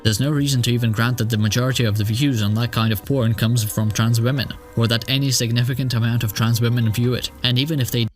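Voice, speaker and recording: A man of mid pitch argues his case in an even, measured video-essay delivery. Faint music plays behind him. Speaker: video creator being responded to.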